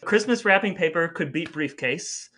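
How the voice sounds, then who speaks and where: Male podcast co-host, high-ish and very bright voice, playful mock-earnest patter, faint music underneath. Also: expressive, moderate pace. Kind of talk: chatty scripted podcast narration.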